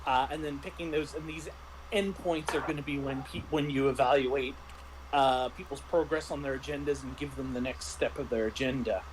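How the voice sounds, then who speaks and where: A man's voice: medium pitch, steady tone, moderate pace, background noise. A man in a casual discussion, explaining like a game master and often rephrasing himself mid-thought.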